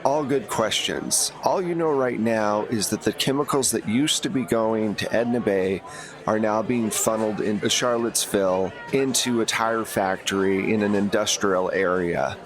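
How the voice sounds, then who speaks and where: A man's voice: lowish voice, moderate pace, crowd noise. Man casually narrating a tabletop game. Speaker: game master.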